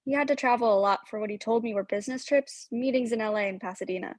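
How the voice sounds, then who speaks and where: A woman's voice: fairly high pitch, quick pace, no echo, lightly acted. An actress playing a candid, wronged young ex-girlfriend in a radio play, reflective yet ready to confront. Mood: reflective, regretful. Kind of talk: radio play interview and dialogue.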